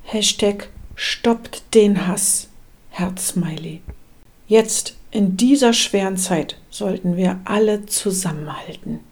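Woman doing an earnest influencer, really slow and emphatic, bit breathy, audio drama. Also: mid-range voice, a little mellow. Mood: earnest appeal. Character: earnest influencer reading out hashtags and emojis. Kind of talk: stylised social media post in a radio collage.

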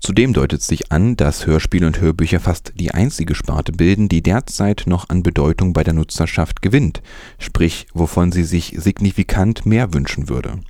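A man's voice: deep voice, moderate pace, warm tone, dry recording, studio quality. A man presenting scripted news as a narrator.

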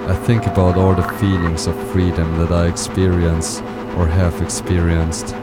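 A man reads a poetic text in a low, flat voice. His delivery is halting, with small stumbles over words. Loud music plays all through the recording. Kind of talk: slow reading of a poetic personal political text. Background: loud experimental music.

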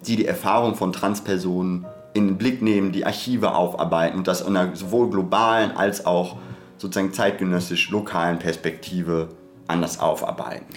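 Lowish male-sounding voice, roomy, over music. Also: fairly even intonation, a little fast. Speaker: podcast co-host. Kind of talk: considered academic podcast discussion. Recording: roomy echo, music behind.

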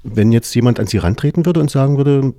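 Mellow-voiced male radio interviewer. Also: medium pitch, quick pace, dry recording, studio quality. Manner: calm, asks several questions in a row.

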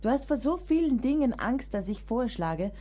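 A frank, perceptive woman of letters in a historical radio drama. Her voice sits a little high and she speaks with composed, literary poise and gentle mockery. Music plays faintly behind her. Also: very animated, normal speed. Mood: teasing. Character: frank, teasing, perceptive woman novelist, composer's longtime lover. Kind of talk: radio play dialogue.

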